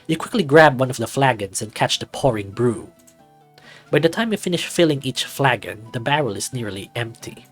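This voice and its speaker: A man's voice: mid-range voice, lively intonation, moderate pace, husky, soft background music. A man hosting a visual novel read-through, narrating and commenting casually. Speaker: video host and visual novel reader.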